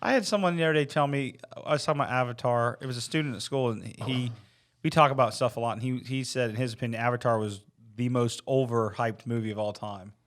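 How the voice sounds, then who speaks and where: A man with a middling pitch talks casually as a podcast co-host. His storytelling is informal and rambles along. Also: quick pace. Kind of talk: casual chat, anecdotes.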